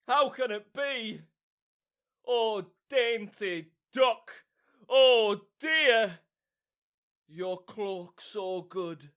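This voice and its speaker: An actor playing a hammy amateur who declaims as a tragic lover, overwrought and melodramatic, in a comic audio drama. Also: male voice, very high-pitched, a bit bright, full character voice. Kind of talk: modernized Shakespeare audio drama comedy.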